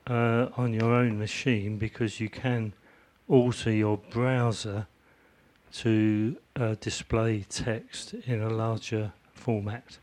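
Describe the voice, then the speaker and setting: A man's voice: fairly low pitch, flat delivery, slow, darkish tone. A man speaking up from the audience at a community meeting, hesitant with many fillers. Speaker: audience member, volunteer who built the website. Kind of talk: meeting discussion.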